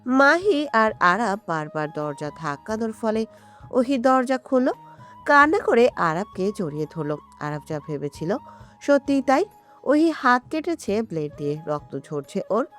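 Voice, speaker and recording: Woman narrating with lively, high-pitched delivery. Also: a little slow. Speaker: story narrator. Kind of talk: dramatic scripted narration of a romantic story. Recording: music behind the voice. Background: background music.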